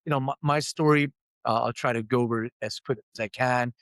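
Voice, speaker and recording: A man's voice: mid-range voice, steady tone, a little fast, fairly bright tone, no room sound. A middle-aged man from Lithuania, raised in Philadelphia, telling his life story openly and with self-deprecating humor as an interview guest. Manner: open, self-deprecating, acts out quoted voices. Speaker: guest, company CEO.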